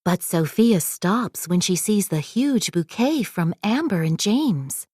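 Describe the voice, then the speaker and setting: Female storybook narrator, lively and expressive, unhurried pace, close dry sound. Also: medium pitch, husky, no room sound. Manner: expressive read-aloud delivery. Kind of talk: scripted children's story narration.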